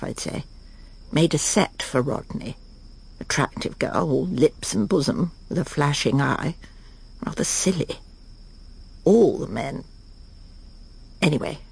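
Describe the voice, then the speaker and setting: An actress playing a prim, self-satisfied, controlling middle-aged English wife in a radio drama, brisk and genteel. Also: female voice, low voice, creaky, slightly rough, exaggerated voice. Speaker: lead actress and narrator. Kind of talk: radio drama acting with inner monologue.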